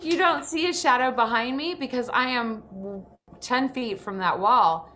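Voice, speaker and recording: A woman's voice: on the high side, measured pace, bright, forceful tone, a little hiss or hum. A woman hosting and instructing in an online session, giving practical advice in a direct, decisive way. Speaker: program host and instructor.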